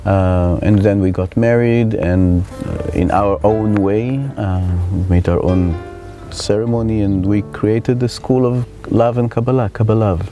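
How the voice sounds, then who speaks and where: A middle-aged man from Israel with an Israeli accent, telling his life story in a reflective, halting way as he searches for words. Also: on the low side, fairly even intonation, over music. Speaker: spiritual teacher. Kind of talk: personal life story told to camera.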